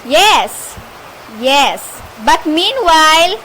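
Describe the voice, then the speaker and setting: A woman's voice: high-pitched, sing-song, very slow, pushed and bright, exaggerated voice, poor audio. A woman playing an anxious, demanding, indignant married woman on the telephone in an amateur radio play. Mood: flustered. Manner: fretful, demanding, exclaiming. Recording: faint background noise, amateur home recording.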